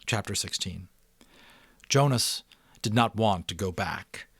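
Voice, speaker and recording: A man with a fairly low voice reads a novel aloud as a dramatic storyteller. He leans on key words with deliberate emphasis and slips into casual commentary for his listeners. The sound is dry, with very little room in it. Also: husky, dry recording. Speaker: audiobook reader and channel host.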